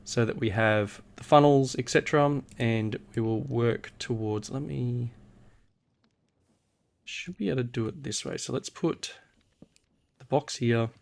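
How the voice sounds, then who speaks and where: A man with an average-pitched voice hosts a casual gaming walkthrough. He sounds unwell, with a husky and slightly nasal tone. He talks in a hesitant way, working things out aloud. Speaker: host of a gaming series. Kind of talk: casual game walkthrough commentary.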